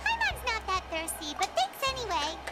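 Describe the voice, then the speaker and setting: A woman voicing a tiny, excitable, childlike floating fairy companion in a video game, chirpy and full of exclamations. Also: extremely high pitch, normal speed, full character voice. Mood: puzzled. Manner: chirpy, exclaiming, refers to itself by name. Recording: loud game music. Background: game music.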